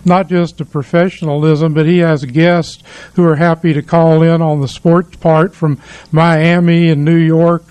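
Higher-pitched, mellow man on radio. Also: flat delivery, unhurried, hardly any pauses, clean tone, no echo, rough recording. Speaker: community radio station representative. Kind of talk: casual studio conversation. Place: small radio studio.

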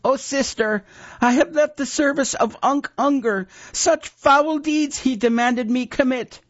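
A man voicing a timid, frightened young male apprentice who squeaks and stammers, on a dull lo-fi recording. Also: unhurried, dry recording.

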